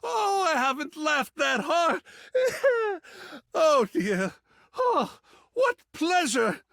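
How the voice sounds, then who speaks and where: A man voicing a flamboyant, pretentious male gallery curator in a video game, passionate and theatrical, with a gravelly, husky voice, savoring his words dramatically. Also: very high-pitched, sing-song, extremely slow, a bit bright, dry recording, clean studio sound, heavily acted. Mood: helplessly amused. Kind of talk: theatrical game character dialogue.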